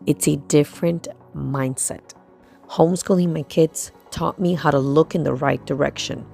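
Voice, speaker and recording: Low-pitched woman with a gravelly edge. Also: fairly even intonation, moderate pace, dark tone. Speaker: homeschooling mother and video host. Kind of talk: scripted-sounding narration for a vlog. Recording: music playing behind the voice.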